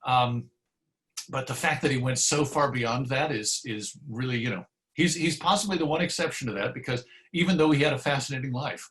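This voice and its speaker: A man with a middle-pitched voice talks as a guest in an interview. He is articulate and discursive, building his points like an anecdote in an easy conversational style. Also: brisk, fairly bright tone, poor audio. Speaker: interview guest, radio historian.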